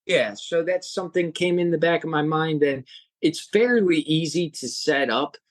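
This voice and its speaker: A young man, a guest on a podcast, talking earnestly and haltingly with many restarts. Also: on the high side, dry recording. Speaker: guest, home cook and hiker. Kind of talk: casual interview chat.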